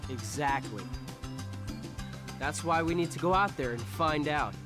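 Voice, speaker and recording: Man playing a restless teen island boy in a game, cool n earnest, slightly high voice, music behind. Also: unhurried, close to an everyday voice. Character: restless, confident, philosophical teenage island boy. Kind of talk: video game cutscene acting.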